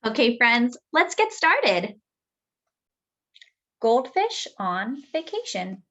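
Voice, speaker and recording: A woman reading a picture book aloud to young children as a storytime host, expressive and speaking directly to her listeners. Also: fairly high pitch, takes it slowly, highs cut off.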